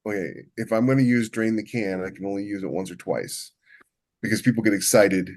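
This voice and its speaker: A man chatting casually as a podcast co-host and writer, halting and restarting as he thinks aloud. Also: fairly low pitch, a little mellow. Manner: halting, thinks aloud with many repeated false starts. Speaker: podcast co-host and science fiction author. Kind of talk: casual chat about book writing.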